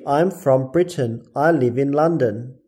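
A man slowly reading English listening-test items with careful, deliberate enunciation. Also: mid-range voice, mellow, husky.

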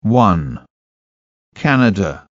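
A man reading short scripted sentences for a language listening test, deliberate and clearly enunciated. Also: lowish voice, very animated, no room sound, muffled recording.

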